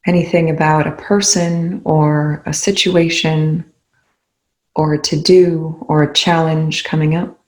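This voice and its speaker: A woman leading a guided meditation in slow, measured phrases with pauses between them. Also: low voice, level tone, a little mellow.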